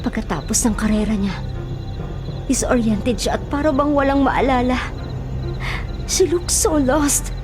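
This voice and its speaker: Dramatic music runs under a high-voiced Filipino radio heroine. She is distraught yet defiant, melodramatic and intense as she fights for her friends. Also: a little slow, pauses often. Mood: grim, regretful.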